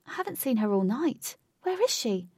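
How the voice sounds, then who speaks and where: A woman's voice: fairly low pitch, moderate pace, vocal fry, dark tone, breathy edge, no room sound, studio quality, distant mic. A woman voicing an anxious, stubborn young woman in a storybook, with an urgent, exclaiming manner. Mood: worried.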